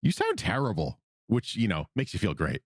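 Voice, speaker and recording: A man co-hosting a casual fan podcast, getting over a cold, with big pitch jumps and frequent laughs. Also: breathy edge, dry recording, studio quality. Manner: animated, quick pitch jumps, laughs often. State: recovering from a cold. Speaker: podcast co-host.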